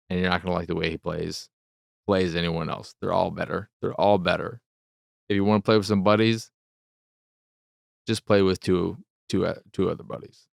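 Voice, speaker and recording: A man with a low voice full of fry talks through a casual game review as host. He rambles, circles back to repeat his points and swears offhandedly. The sound is close and dry. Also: no echo.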